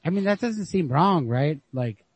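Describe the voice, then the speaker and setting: A man's voice: on the high side, lively intonation, moderate pace, husky, no echo. A man in a casual literary chat as a podcast host, musing and thinking aloud with frequent swearing. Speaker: podcast host discussing a short story. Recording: lo-fi podcast recording.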